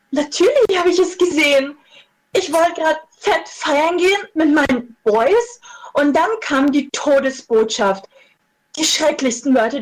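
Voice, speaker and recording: A woman acts out a distraught young witness in an amateur radio play. Her voice is extremely high. She is melodramatic, repeating her words in distress. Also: low-quality recording.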